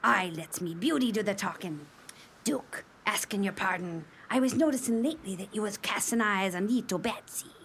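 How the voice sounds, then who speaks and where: An actress playing a coquettish, brassy aging barmaid among pirates in a comic radio play, with broad flirtatious dialect. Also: female voice, medium pitch, normal speed, a bit bright, slight background noise, lightly acted. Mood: wheedling.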